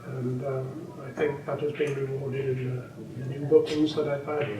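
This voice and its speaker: An elderly man in his eighties, a native Welsh speaker, recalls decades of snooker coaching in a slow, meandering way. The recording is distant and roomy. A steady hum of background noise sits under his voice. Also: mid-range voice. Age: 80s. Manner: unhurried, rambling with many ums and restarts. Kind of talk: interview, reminiscing. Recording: echoey room, distant mic, background noise.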